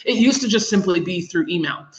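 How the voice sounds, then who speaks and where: A woman's voice: on the low side, expressive, fairly quick, a little hiss or hum. A woman, a kids program director, explaining her methods informally on a group call and repeating phrases for emphasis. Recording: roomy, dull call audio.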